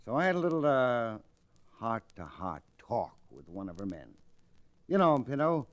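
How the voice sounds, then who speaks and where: An actor with an American accent playing a smug, menacing cattle-buyer villain with an insinuating manner in a radio Western. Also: male voice, mid-range voice, sing-song, lightly acted. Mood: scheming. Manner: insinuating, drawn-out threats. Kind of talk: old-time radio Western acting.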